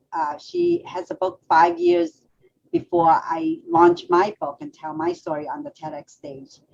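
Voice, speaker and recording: A woman co-hosting a casual talk show, lively, laughing and restarting her phrases, heard over a video call. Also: on the low side, creaky. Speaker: co-host. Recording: slightly dull lo-fi audio with faint background noise.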